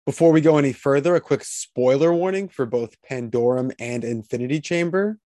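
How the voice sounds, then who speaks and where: A man hosts an interview podcast. His voice sits at an average male pitch, and the sound is dry, with hardly any room on it. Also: fairly quick, dark tone, no echo. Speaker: podcast host.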